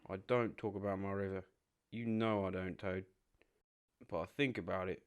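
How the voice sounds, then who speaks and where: A man's voice: low-pitched, fairly even intonation, normal speed, clean tone, lightly acted. A man voicing a loyal, practical water rat in a storybook reading, speaking earnestly. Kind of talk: audiobook character voice.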